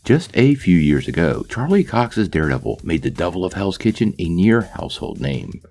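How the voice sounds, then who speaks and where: A man's voice: deep voice, dark tone. A man hosting a comic nostalgia channel, reading a scripted voice-over in an enthusiastic, playful storytelling manner. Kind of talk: scripted video narration.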